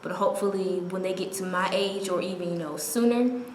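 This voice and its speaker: A woman's voice: on the low side, brisk, echoey room, slight background noise, rough recording. A young woman, a college student, speaking reflectively and hesitantly in an interview. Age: young adult.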